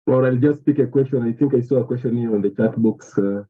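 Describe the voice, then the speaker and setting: A man's voice: medium pitch, fast talker. A man moderating an online meeting, briefly calling on participants to speak. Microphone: video call. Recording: husky phone-like call audio.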